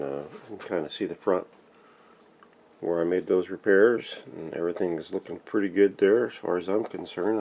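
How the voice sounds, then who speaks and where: A man's voice: low voice, vocal fry, highs cut off. A man giving a casual, plainspoken hands-on workshop demonstration, narrating each step as he works. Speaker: vintage radio restorer and host. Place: home workshop.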